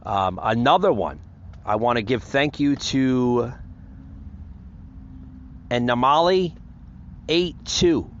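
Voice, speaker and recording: A man's voice: mid-range voice, animated, slow, pauses often, muffled recording, a little hiss or hum. An American man hosting a podcast in a casual, unscripted monologue, rambling and repeating himself as he addresses his listeners. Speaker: podcast host.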